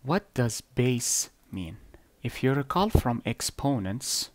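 A man whose first language is Arabic, explaining number systems slowly and deliberately over an on-screen drawing, with blunt asides. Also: medium pitch, big pitch swings, darkish tone, no echo. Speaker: math teacher. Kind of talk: screen-recorded whiteboard lesson.

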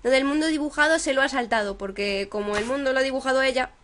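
A woman's voice: high-pitched, quick pace, fairly bright tone, slight background noise. A young woman with an accent from Spain, commenting on a game playthrough and reading its dialogue aloud. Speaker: gaming video host. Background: game music.

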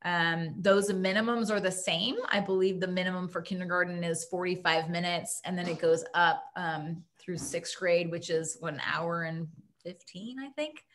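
A woman's voice: lowish voice, moderate pace, a bit bright, slight background noise. A woman school principal answering parents' questions at a video-call town hall, informal and thinking aloud.

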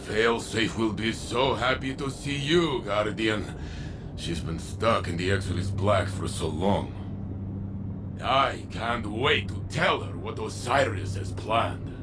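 Calm veteran warrior, slow unhurried delivery, music behind. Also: male voice, on the low side. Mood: steady. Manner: calm, measured, encouraging. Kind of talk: video game voice acting.

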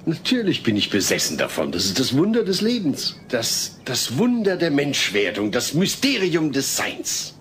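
A man's voice: a little high, wildly swooping pitch, normal speed, few pauses, a bit bright. An actor playing an exasperated, earnest suburban father-to-be in a sitcom audio play, a little fussy. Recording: noisy old cassette audio.

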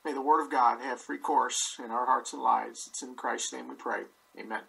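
A man offering a measured, reverent spoken prayer over a video call. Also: mid-range voice, fairly even intonation, vocal fry, bright, forceful tone, husky, poor audio. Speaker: church member leading prayer.

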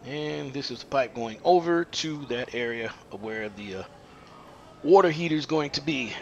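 Halting plumber with a gravelly voice. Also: male voice, medium pitch, muffled recording, a little hiss or hum. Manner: halting, many "uh" fillers. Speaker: plumber demonstrating installation. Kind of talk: hands-on how-to explanation. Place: unfinished house under construction, indoors.

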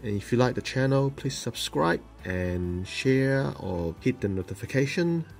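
A man hosting a model-building show, explaining the steps plainly, with pauses and ums. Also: mid-range voice, expressive, creaky, faint music behind. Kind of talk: hobby tutorial voice-over.

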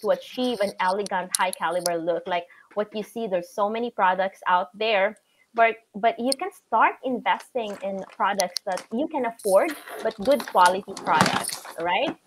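Raspy filipino woman in her 30s hosting a live video chat, medium pitch, chatty. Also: moderate pace, noisy background. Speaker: host of a live show. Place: home room with shelves.

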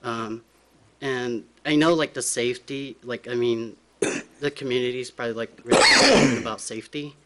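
A nervous young man giving informal, halting public comment at a hearing. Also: medium pitch, fairly even intonation, background noise. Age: young adult. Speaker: business applicant speaking at a public hearing. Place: large council chamber, indoors. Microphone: podium microphone.

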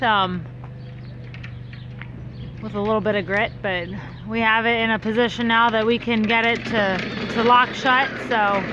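A woman chatting casually to viewers as a farm vlogger, recorded outdoors on a farm with a phone. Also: a little high. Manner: relaxed, chatty, addresses viewers directly. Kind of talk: casual vlog narration. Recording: lo-fi outdoor phone audio with loud background noise.

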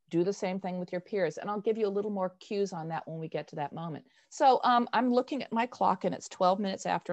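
An older woman facilitating an informal online workshop, speaking in an animated, emphatic, self-deprecating way on a video call headset. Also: on the low side. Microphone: wired earphone headset.

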